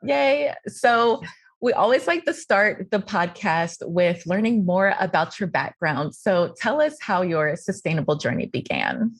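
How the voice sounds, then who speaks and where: A woman's voice: mid-range voice, big pitch swings, unhurried, dry recording. A woman hosting a podcast, upbeat and enthusiastic, greeting her guest and asking friendly questions. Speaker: podcast host.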